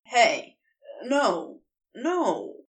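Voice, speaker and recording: Woman as a wry, slow-talking demon man. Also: a little high, very animated, distant mic, heavily acted, rough recording. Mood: startled, wry. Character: wry male demon, guarded but secretly tender.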